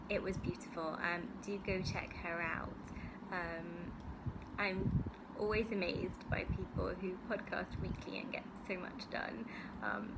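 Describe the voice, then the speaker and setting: Uk woman rambling about her knitting podcast, lots of ums, mid pitch, loud noisy background. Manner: chatty, rambling, many ums. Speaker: podcast host. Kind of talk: casual chat to camera. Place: home in Singapore.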